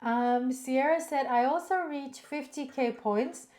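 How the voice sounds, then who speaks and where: Indonesian-accented woman chatting on a cooking stream, lots of ums, reads chat out loud. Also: high-pitched, measured pace. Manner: chatty, laughs often, hesitant fillers. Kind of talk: casual chat, reading viewer comments.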